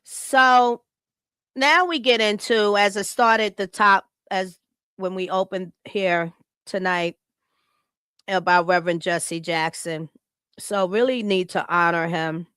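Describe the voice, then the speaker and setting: Smooth-voiced woman podcast host. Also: medium pitch, steady tone, takes it slowly, fairly bright tone, no room sound, clean studio sound. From Chicago, United States. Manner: heartfelt, reads a written tribute aloud, laughs often. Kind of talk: casual live podcast talk.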